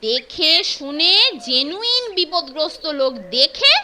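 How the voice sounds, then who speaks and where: A woman's voice: high-pitched, sing-song, unhurried. A woman voicing a whiny young schoolboy sidekick in a cartoon, talking in pleading exclamations. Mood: weary.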